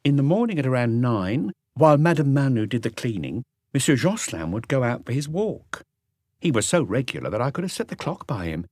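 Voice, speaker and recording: A man's voice: medium pitch, very animated, brisk, a little mellow, dry recording, clean studio sound, voice pushed up. A man voicing a young, affable female concierge in an audiobook, polite, chatty and matter-of-fact. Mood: recollecting, helpful. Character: young, affable woman concierge, helpful and soft-spoken. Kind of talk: audiobook character dialogue.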